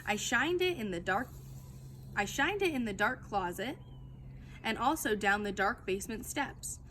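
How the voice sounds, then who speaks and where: A woman with a fairly high, very lively voice reads a children's story aloud. Her delivery is expressive and emphatic, with clear background noise. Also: unhurried. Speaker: storytime reader.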